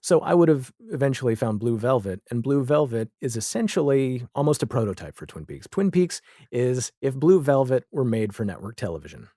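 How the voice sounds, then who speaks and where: A man speaks as a podcast guest in a dry, close sound. His pitch is average, and he talks casually but with enthusiasm. He pauses deliberately and puts emphasis on key words. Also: sing-song, mellow, no echo. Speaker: podcast guest, audio drama creator. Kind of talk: casual enthusiastic interview talk.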